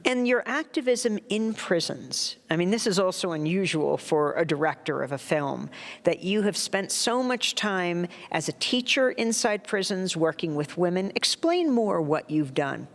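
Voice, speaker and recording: A woman's voice: lowish voice, lively intonation, measured pace, in a large hall. A woman hosting a news show, asking direct, probing interview questions.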